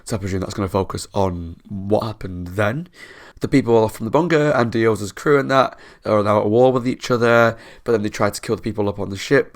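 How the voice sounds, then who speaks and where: A man with a bunged-up nose from a cold, hosting a reaction channel in casual, chatty commentary. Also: on the low side. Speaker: host of a TV show reaction channel.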